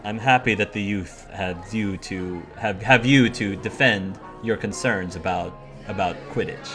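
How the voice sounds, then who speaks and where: A man's voice: on the low side, measured pace, soft background music, close to an everyday voice. A man voicing an earnest, stammering young wizard student.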